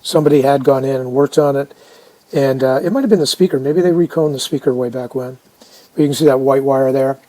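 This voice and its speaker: A man casually narrating a hands-on restoration video, unhurried and halting as he thinks aloud. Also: medium pitch, warm tone, poor audio. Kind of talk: casual narrated how-to commentary.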